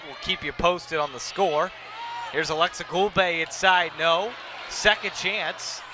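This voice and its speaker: A young male play-by-play commentator with a high-pitched voice calls live basketball. His delivery is projected and punchy, set against clear gym noise and bouncing balls. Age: young adult. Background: basketball arena noise.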